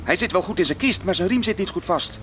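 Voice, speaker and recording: Dutch teenage boy, medium pitch, animated, keen, teasing, radio play, slight noise. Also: fast talker. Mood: alarmed, calling. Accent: Netherlandic Dutch. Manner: boyish, keen. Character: teasing, keen teenage brother who dotes on his dog. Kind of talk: radio drama acting.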